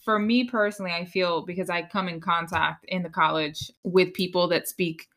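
A woman's voice: lowish voice, fairly even intonation, moderate pace, fairly bright tone. A woman from Puerto Rico with a Puerto Rican accent, co-hosting a podcast in casual chat, mixing in Spanish and laughing often. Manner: chatty, laughs often, mixes Spanish into English. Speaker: podcast co-host.

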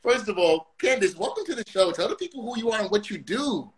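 Upbeat high-voiced man hosting a livestream. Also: fast talker, a crowd in the background. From Brooklyn, New York. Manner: energetic, hypes the audience. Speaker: livestream interview host. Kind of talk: hyped live-show hosting.

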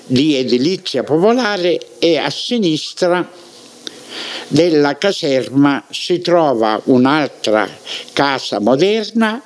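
A man from Sicily talks slowly through a walk down old town streets, taking his time with each landmark. His voice sits at an ordinary pitch, and his manner is measured and reminiscing. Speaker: local history narrator. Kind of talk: descriptive narration of a town walk.

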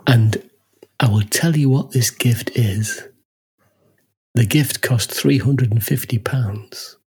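Chatty husky man on a podcast. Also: medium pitch, slow pace, a little mellow. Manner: chatty, laughs often, tags lines with "huh?". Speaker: podcast co-host. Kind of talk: casual chat.

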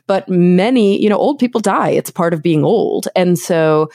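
A woman's voice: fairly low pitch, lively intonation, normal speed, mellow, no echo, studio quality. A woman from Texas hosting a podcast, talking through long, thoughtful points in casual conversation. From Texas, USA. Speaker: podcast host. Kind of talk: casual interview chat.

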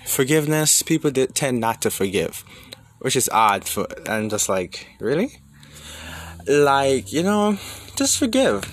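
A man's voice: mid-range voice, sing-song, unhurried. A young man from Jamaica talking casually and thinking aloud, conversational, with emphatic rhetorical questions. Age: young adult. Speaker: student sharing personal reflections.

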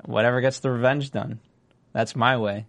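A man hosting a casual TV recap chat, jokey and raspy, often trailing off mid-sentence. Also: medium pitch, fairly even intonation, normal speed, a bit bright. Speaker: show host.

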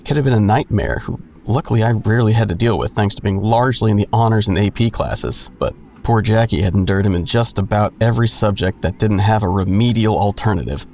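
A man performs a wry, suspicious narrator in a noir-like audio drama. His low voice is full of creak, and he delivers dry, rambling asides in a world-weary way. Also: brisk, few pauses, a little mellow, a little hiss or hum, natural voice. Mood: sardonic.

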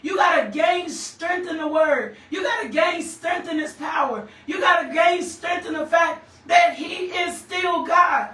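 A woman's voice: very high-pitched, unhurried, hardly any pauses, fairly bright tone, shouting, a faint crowd. A woman preaching a fervent, exhorting sermon with scripture, emphatic and fond of repeated refrains. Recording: echoey room, slightly distant mic.

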